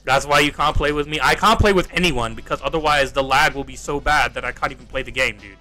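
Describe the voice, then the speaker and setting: Exasperated young Australian gaming streamer. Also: male voice, mid-range voice, quick pace, pushed and bright, soft background music. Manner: rambling, exasperated, swears, repeats himself. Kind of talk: casual livestream chat while playing.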